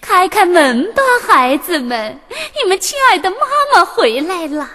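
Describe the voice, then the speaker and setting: A woman plays a sly storybook wolf pretending to be a sweet mother goat. The voice is thin and extremely high, with coaxing singsong swoops. A long reverb trails it. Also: very animated, unhurried, exaggerated voice. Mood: sweetly coaxing. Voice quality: thin, softened falsetto-like. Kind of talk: children's storybook character voice. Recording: reverberant hall-like echo.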